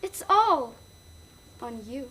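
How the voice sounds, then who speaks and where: A young woman acting in a stage play as a cold, accusing figure who taunts with deliberate, pointed words. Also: high-pitched, sing-song, sharp tone, husky, full character voice. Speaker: student actor in a one-act play.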